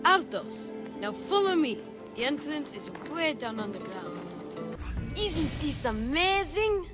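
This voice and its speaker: Lady doing a young scammy treasure hunter, high lively voice, slow, music under it. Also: full character voice. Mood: eager. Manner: defensive, streetwise, a bit whiny. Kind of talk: video game cutscene acting. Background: game music.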